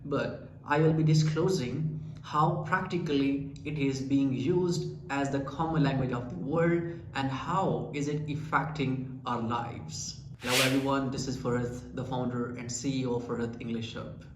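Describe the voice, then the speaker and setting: A man's voice: mid-range voice, steady tone, normal speed. A man with a Pakistani accent teaching an English lesson, explaining earnestly and asking rhetorical questions. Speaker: English teacher and channel founder. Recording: echoey room with faint ambient noise.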